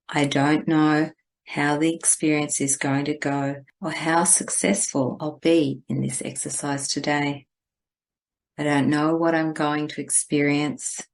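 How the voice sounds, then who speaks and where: Woman with a really deep voice doing a guided meditation, super slow and gentle. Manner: gentle, deliberate, instructing. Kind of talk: guided meditation, spiritual narration.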